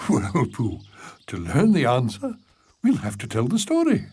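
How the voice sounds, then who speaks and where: An actor playing a genial storybook narrator for a children's cartoon, theatrical, playful and deliberate. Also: mid-range voice, huge pitch swings, a little mellow, breathy edge, heavily acted. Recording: music under the voice.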